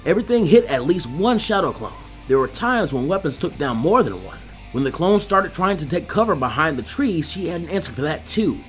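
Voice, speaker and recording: A man's voice: a little high, sing-song, a little fast, few pauses, faint music behind. A man reading fan fiction aloud as scripted story narration.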